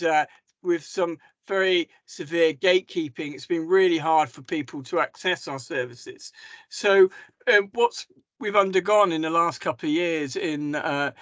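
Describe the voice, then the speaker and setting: A man talks over a video call, a little croaky from a cough. He presents slides for a webinar with many hesitations and restarts, in a rather high voice. The sound is dry. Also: fairly bright tone, dry recording. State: hoarse from a cough. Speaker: mental health service manager.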